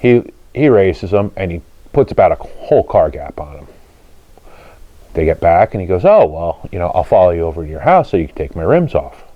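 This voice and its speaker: A man with a fairly low voice hosts a casual vlog. He explains and tells stories in plain, colloquial language. The sound is dry and somewhat dull, with little room around it. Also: sing-song, a little fast, no echo. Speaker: host of a car channel, drag racer. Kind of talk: casual storytelling and explaining to viewers.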